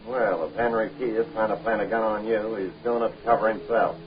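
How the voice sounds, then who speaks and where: An actor playing a gruff, shifty henchman in a 1930s film serial. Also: fairly low pitch, fairly even intonation, brisk, noisy background, natural voice. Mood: guarded, insinuating. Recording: dull 1930s film soundtrack.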